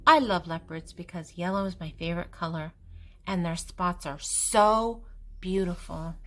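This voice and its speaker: An older woman reading a picture book aloud to children, expressive and deliberate, with emphasis on key words. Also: fairly low pitch. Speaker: children's story reader and channel host.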